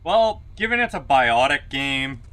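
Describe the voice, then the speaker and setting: Streamer, man: bright, slow, wry, animated intonation. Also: medium pitch. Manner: dry, wry remarks. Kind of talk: casual gameplay commentary.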